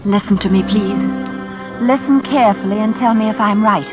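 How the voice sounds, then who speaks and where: An actress playing a sweet-natured young engaged woman in a 1940s radio suspense drama, earnest, polite and softly dramatic. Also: medium pitch, moderate pace, narrow, tinny audio, lightly acted. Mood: fearful, intense. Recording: vintage radio drama with music behind.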